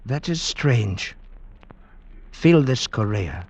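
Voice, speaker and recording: A husky blind villager speaks gravely and with suspicion. His superstitious, archaic declamation belongs to a vintage radio play, and the old recording is dull. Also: medium pitch, sing-song, extremely slow, exaggerated voice. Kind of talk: vintage radio drama acting.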